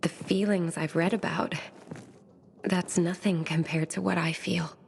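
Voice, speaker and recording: An actress playing a shy, dreamy, bookish young woman who speaks softly and wistfully, often half-whispering. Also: fairly low pitch, fairly even intonation, measured pace, vocal fry, a little hiss or hum.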